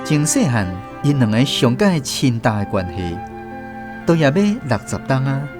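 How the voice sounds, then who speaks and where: A man with a Taiwanese accent narrates a scripted story. His mid-range voice moves animatedly up and down in pitch, with clearly audible music behind him. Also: very animated, normal speed. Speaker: host and narrator.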